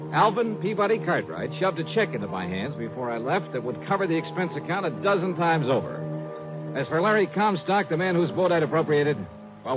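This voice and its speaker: Actor playing a wry hardboiled American radio detective. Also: male voice, a little high, natural voice. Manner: wry, clipped, incredulous. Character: hardboiled, wry male freelance insurance investigator. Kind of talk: 1950s radio drama acting and narration. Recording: muffled, noisy old radio recording.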